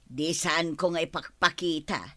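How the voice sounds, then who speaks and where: Bright-voiced, breezy Ilocano woman. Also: low-pitched, fairly even intonation, unhurried. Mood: curious, agreeable. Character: breezy, eager, trusting woman taking delivery jobs. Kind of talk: Ilocano radio comedy-drama acting.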